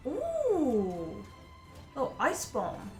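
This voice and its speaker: A woman's voice: sits high, wildly swooping pitch, drawn-out words, a little mellow. A woman reacting casually to game trailers in a playful, gushing way full of admiring exclamations. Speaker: gaming video reactor. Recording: roomy echo, game music behind. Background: game trailer music.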